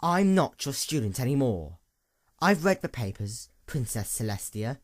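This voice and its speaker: A man voicing a weary, bitter female unicorn in a story, with a quiet, measured murmur. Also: mid-range voice, very animated, dry recording, clean studio sound. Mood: resigned.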